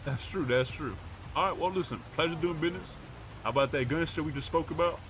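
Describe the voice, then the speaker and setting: A man's voice: fairly high pitch, quick pace. Pushy streetwise man, noisy game audio. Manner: pushy, streetwise, slangy and profane. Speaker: roleplay gamer streaming. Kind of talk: in-character street talk in a game roleplay.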